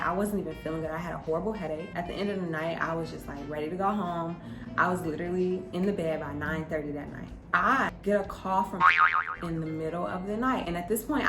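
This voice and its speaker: A woman's voice: on the low side, echoey room. A young adult woman vlogger telling a personal story in a casual, conversational way, with emphasis when she quotes exchanges.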